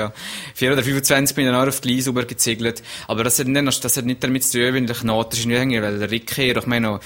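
A man's voice: mid-range voice, fairly bright tone. Swiss German man, hesitant guest. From Switzerland. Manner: hesitant, many restarts. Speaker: interview guest. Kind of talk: casual radio interview.

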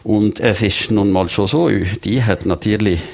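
A man's voice: fairly low pitch, a little slow, creaky. A man in his sixties with a Swiss German Valais accent, a guest in a studio interview who talks with many fillers and restarts. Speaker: guest, early retiree.

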